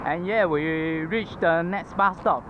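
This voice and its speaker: Singaporean-accented man vlogging outdoors, a little higher voice, slow and easygoing, dull lo-fi action camera sound with street traffic clearly heard. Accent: Singaporean English. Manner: chatty, self-narrating, thinking aloud with fillers. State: panting from exertion. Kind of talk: casual chat while riding. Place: outdoors on a city roadside pavement. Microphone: head-mounted action camera mic. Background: passing traffic.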